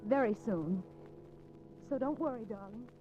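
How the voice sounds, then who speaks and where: An American actress playing a secretive, lovestruck wife in a 1950s radio drama, speaking breathlessly and melodramatically. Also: female voice, a little high, measured pace, soft background music, lightly acted. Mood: tender, secretive. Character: intense, secretive wife of a condemned man, in love. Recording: dull, noisy 1950s radio recording.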